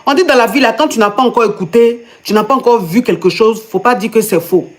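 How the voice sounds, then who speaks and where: This is a woman with an Ivorian French accent who hosts a call-in advice show. Her voice sits somewhat high. She questions the caller over and over, stammering repeats as she struggles to believe the story. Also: quick pace. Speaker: host and coach. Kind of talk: live call-in advice chat.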